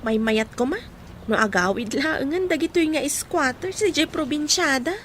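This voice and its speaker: A woman's voice: sits high, lively intonation, moderate pace, a bit bright, a little hiss or hum. A woman playing a fussy, practical young student in an Ilocano radio drama.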